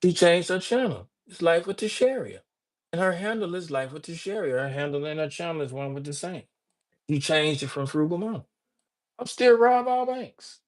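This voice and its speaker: A man's voice: a little high, animated, moderate pace. An older man hosting a casual livestream, rambling and joking as he greets viewers by name. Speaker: livestream host. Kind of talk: casual livestream chat reading viewer comments.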